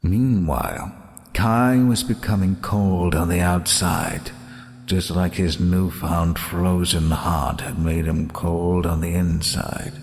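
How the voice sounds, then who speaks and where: A solemn fairy-tale narrator, played by a man, reads in a deep, slow voice. Long reverb surrounds him, with faint noise behind. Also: vocal fry, faint music behind, exaggerated voice. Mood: solemn, tender. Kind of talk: scripted storybook narration.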